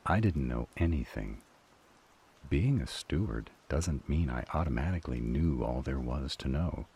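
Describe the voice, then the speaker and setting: Man acting a very deep, grave demon elder. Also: creaky, warm tone, slight background noise, heavily acted. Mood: defensive, grave. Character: powerful male demon elder, council member, weary and firm. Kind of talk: dramatic audio roleplay acting.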